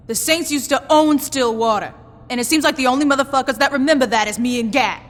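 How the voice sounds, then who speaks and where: A woman's voice: high voice, quick pace, in a large hall, close to an everyday voice. A woman voicing a blunt, tough gang boss. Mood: commanding. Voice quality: blunt, sarcastic. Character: tough, foul-mouthed female gang boss. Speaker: gang leader player character. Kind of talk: video game cutscene acting.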